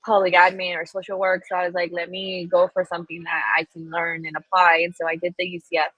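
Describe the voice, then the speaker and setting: A woman from Colombia speaking as a guest in a casual interview, chatty and animated, laughing often and using lots of fillers. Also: on the low side, fast talker, no room sound, dull audio.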